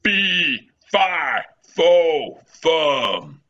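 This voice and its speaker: A fierce storybook giant in a radio play. The voice is very slow, drawn out and gravelly, with a forceful boom over muffled call audio. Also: male voice, sing-song, heavily acted. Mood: booming, menacing. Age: middle-aged. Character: grumbling cow and fierce booming giant. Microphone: headset on a video call.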